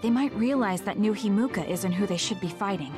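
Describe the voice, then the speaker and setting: A woman's voice: medium pitch, fairly quick, close to an everyday voice. A woman playing a decisive young soldier in a video game, direct and firm. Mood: determined. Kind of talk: video game cutscene acting. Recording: music clearly behind the voice.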